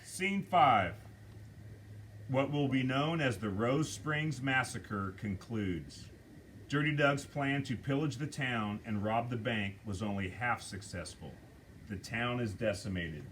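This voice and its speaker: A man reading the stage directions aloud at a script table read, measured and deliberate. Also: medium pitch, fairly bright tone, slight background noise.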